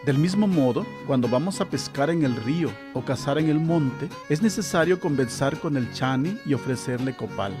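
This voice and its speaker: A man narrating a scripted legend in a calm, measured way. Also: on the high side. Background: guitar music.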